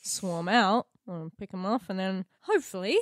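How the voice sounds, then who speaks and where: A woman's voice: medium pitch, lively intonation, normal speed, no room sound. A woman playing a tabletop roleplaying game, chatting casually with the other players. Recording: dry recording with music behind. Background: background music.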